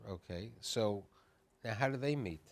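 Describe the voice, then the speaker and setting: A man's voice: on the low side, animated, measured pace. A man hosting a conversational television interview, speaking emphatically and prompting his guest.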